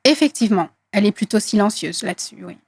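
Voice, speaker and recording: A woman in her thirties from Congo whose first language is Swahili, speaking earnestly in an interview with many hesitant pauses. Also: mid-range voice, expressive, slightly rough, no echo. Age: 30s. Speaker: guest activist. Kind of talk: interview conversation.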